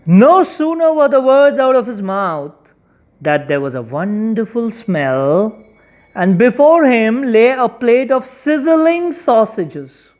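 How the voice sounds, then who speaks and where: A storyteller narrating a children's folktale expressively, with deliberate, dramatic emphasis. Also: mid-range voice, sing-song, darkish tone.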